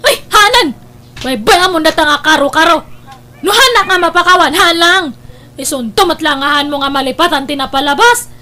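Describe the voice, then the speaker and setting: An actress playing a hurt, accusing, tearful wife in an Ilocano radio drama. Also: female voice, high voice, animated, normal speed, sharp tone. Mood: indignant, hurt. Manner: emotional, dramatic, indignant.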